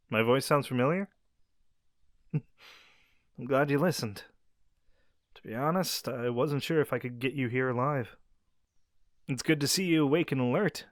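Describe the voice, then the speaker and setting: A man playing a gentle young werewolf, lively voice. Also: mid-range voice, dry recording, clean studio sound, lightly acted. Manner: gentle, reassuring, speaks directly to the listener. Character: young male werewolf, friendly and caring pack beta. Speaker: audio roleplay character. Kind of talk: scripted audio roleplay addressing the listener.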